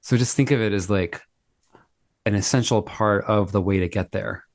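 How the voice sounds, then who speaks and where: A fairly low-pitched man talks with easy enthusiasm, often affirming what he hears. He is heard over a video call, and the sound is dry with no room echo. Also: moderate pace. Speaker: guest, singer-songwriter. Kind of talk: casual interview chat.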